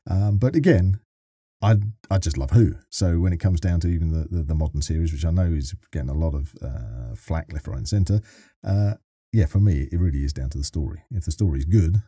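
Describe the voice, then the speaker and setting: A man's voice: low voice, brisk, mellow, slightly rough, no room sound, clean studio sound. An older man chatting casually as a podcast interviewer, talkative and given to teasing his guest. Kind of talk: casual interview chat about sci-fi.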